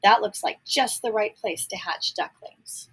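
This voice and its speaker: A woman's voice: mid-range voice, unhurried, slightly rough. A woman voicing a fussy, easily flustered mother mallard duck in a read-aloud storybook. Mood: content. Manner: squawking, emphatic when alarmed.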